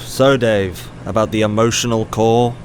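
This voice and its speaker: A man's voice: on the low side, steady tone, unhurried, slight background noise, close to an everyday voice. A man playing a wry, weary ethics professor in an audio drama, dry, sarcastic and mildly exasperated in a natural style. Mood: probing, weary.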